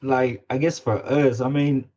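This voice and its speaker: A man with a Louisiana accent chatting casually as a guest, halting and often repeating his phrases. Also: mid-range voice, steady tone, mellow, lo-fi sound. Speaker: guest rapper. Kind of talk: casual chat.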